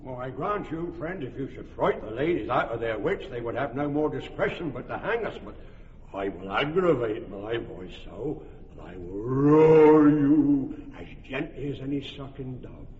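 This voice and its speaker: An actor playing a boastful weaver-turned-amateur actor who declaims grandly and wants to play every part, in a radio drama. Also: male voice, medium pitch, sing-song, normal speed, natural voice. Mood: wheedling. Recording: dull lo-fi radio recording with hall reverb and echo.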